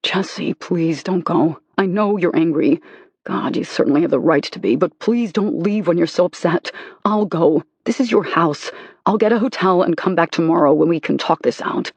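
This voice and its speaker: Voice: medium pitch, moderate pace, husky, no room sound. Narrator voicing a pleading remorseful husband. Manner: pleading, soft, contrite. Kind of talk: audiobook character reading.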